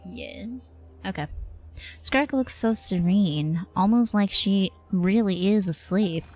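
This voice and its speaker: A woman's voice: mid-range voice, slow. A woman livestreamer giving casual, slangy game commentary and reading dialogue aloud. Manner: informal, slangy. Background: soft game music.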